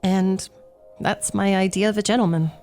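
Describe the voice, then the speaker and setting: A woman's voice: mid-range voice, fairly even intonation, moderate pace, darkish tone, soft background music, close to an everyday voice. An actress playing an eager, ingratiating and plucky shop girl in a radio play. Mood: coaxing. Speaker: actor. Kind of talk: radio theatre acting.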